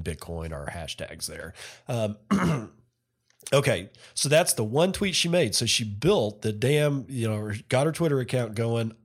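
A man's voice: on the low side, darkish tone. A man hosting a solo podcast, talking casually and rambling, often correcting himself mid-sentence. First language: not Finnish. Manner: rambling, self-correcting, chatty. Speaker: podcast host.

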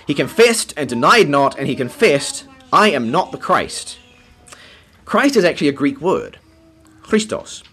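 A man preaching a teaching sermon, explaining scripture in a measured, explanatory way. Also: fairly high pitch, fairly bright tone. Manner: explanatory, measured, occasional light laugh.

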